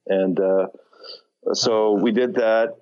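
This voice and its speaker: A man's voice: on the low side, steady tone, takes it slowly, highs cut off. A man with a light non-native accent, talking as a musician guest in a casual interview, rambling with many restarts and fillers.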